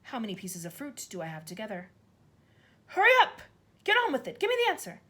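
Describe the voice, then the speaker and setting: Storybook villain: a booming, insulting tyrant of a headmistress, loud and swooping, put on by a woman reading aloud. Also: lively intonation, fast talker, breathy edge. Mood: barking. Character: huge bullying headmistress, bellowing tyrant.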